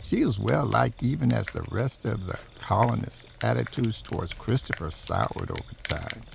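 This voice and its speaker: A male narrator tells a scripted horror history in a heavily creaky voice. His pitch moves around in a lively way, and there is clear noise in the recording. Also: moderate pace. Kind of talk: scripted documentary-style narration of a horror story.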